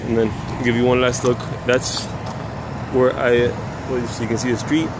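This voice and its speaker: A man's voice: mid-range voice, little pitch movement, unhurried. A man vlogging as he walks city streets at sunset, rambling and restarting, recorded on a handheld camera mic. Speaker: vlogger exploring old rail sites. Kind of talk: casual walking commentary. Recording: outdoor traffic noise. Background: street traffic.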